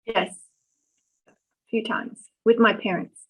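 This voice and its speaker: A middle-aged woman born in Vietnam, answering questions in an oral history interview, pausing often with ums and restarts. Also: on the high side, fairly even intonation, husky. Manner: thoughtful, many ums and restarts. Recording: dull lo-fi recording.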